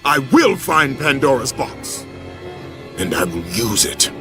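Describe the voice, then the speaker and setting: An actor playing a wrathful, vengeful Spartan warrior in a video game, gruff and fierce, declaiming threats. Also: male voice, medium pitch, big pitch swings, takes it slowly, music in the background. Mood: defiant rage. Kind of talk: dramatic video game acting.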